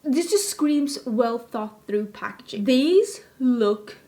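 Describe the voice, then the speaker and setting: A woman's voice: on the high side, expressive, slow, dark tone. A woman in her thirties from Sweden with a Swedish accent, chatting enthusiastically to camera in a makeup review and wandering into little asides. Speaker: beauty youtuber. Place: home filming room with plants, indoors.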